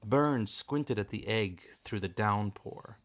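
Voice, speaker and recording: A man's voice: lowish voice, takes it slowly, fairly bright tone. A man reading a bedtime story aloud in the role of a father.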